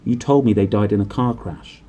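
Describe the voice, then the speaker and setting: A young storybook boy, voiced by a man, earnest and bewildered. He speaks hesitantly and curiously, pitched a touch above a man's middle register, with a husky tone. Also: moderate pace, dark tone, close to an everyday voice. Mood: urgent disbelief. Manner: hesitant, stammering.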